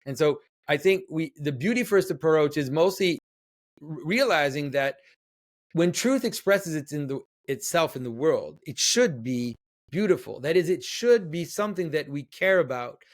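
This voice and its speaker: A man's voice: fairly high pitch, animated, normal speed. A man in his forties from Quebec whose first language is French, a guest answering interview questions thoughtfully, with fillers and restarts, speaking over a video call from a room at home. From Quebec, Canada. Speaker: guest author and artist.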